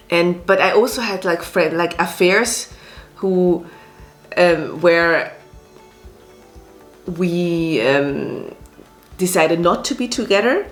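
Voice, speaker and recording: Slow-talking woman from Switzerland. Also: on the low side. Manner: speaks slowly, with many fillers and restarts. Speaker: restaurant owner and actress. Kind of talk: casual interview talk.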